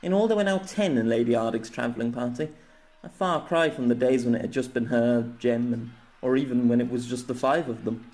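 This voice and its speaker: A man narrates a scripted story in the manner of an audiobook. His voice sits at a medium pitch. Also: fairly quick, darkish tone.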